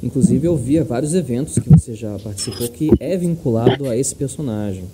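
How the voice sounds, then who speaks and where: A man's voice: mid-range voice, animated, fairly quick, very noisy. A man in his thirties with a Brazilian accent co-hosting a casual podcast interview, explaining things conversationally. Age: 30s. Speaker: podcast co-host. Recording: music in the background.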